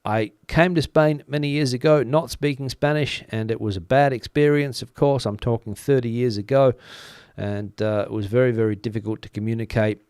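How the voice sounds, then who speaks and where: A middle-aged man, a native English speaker, hosts a casual live stream from his home studio. He rambles chattily, with plenty of "uh"s. His voice is smooth and resonant, recorded close with a dry sound and no room echo. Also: mid-range voice, moderate pace, darkish tone. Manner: chatty and rambling, many "uh" fillers. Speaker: news channel host. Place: home studio in front of a backdrop picture.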